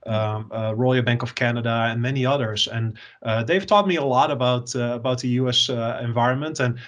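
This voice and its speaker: A man from the Netherlands with a Dutch accent, an executive answering interview questions and often pausing with fillers and repeated words. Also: medium pitch, a bit bright. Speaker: software company executive.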